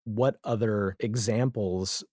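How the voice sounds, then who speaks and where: A man speaking as a podcast guest in a casual pop-culture discussion, with halting asides as he lists things. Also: fairly low pitch, steady tone, a little mellow, dry recording, clean studio sound.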